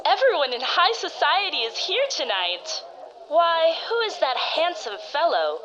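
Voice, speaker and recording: Gushing socialite gossip, played by a woman. High, swooping voice, muffled sound. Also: sing-song, measured pace, sharp tone, full character voice. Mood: admiring. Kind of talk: comic superhero radio play. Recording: dull lo-fi audio.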